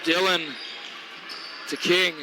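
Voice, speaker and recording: A man doing play-by-play on a local basketball game, lively and reading sponsor spots, his voice wearing thin. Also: high-pitched, slow. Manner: energetic, reads sponsor ads. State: voice weakening. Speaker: play-by-play announcer. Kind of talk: local sports commentary. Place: basketball gym. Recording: noisy gym broadcast. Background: gym ambience, bouncing balls.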